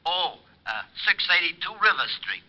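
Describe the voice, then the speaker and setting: An actor playing a bumbling, flustered, hesitant police sergeant in an old radio drama. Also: male voice, on the high side, sing-song, normal speed. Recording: muffled old radio recording.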